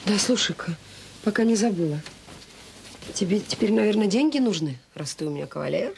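An actress playing a caring, wry, middle-aged mother in an audio drama, talking in a colloquial, gently teasing, frank way. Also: medium pitch, lively intonation, fairly quick, gravelly. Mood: frank, reluctant. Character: caring, wry, tired mother of a grown son. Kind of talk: naturalistic radio drama dialogue. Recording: slightly noisy 1980s audio drama recording.

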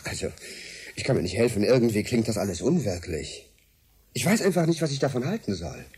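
An actor in a German radio drama playing a skeptical, excitable journalist with a doubting, wry manner. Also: male voice, fairly low pitch, brisk.

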